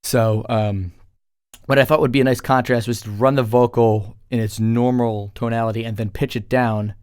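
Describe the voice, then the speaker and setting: A man's voice: medium pitch, steady tone, moderate pace, a little mellow, clear, resonant tone, no echo, clean studio sound. A man talking casually as a music producer, explaining his work in an informal tutorial voice-over. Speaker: music producer presenting a track breakdown.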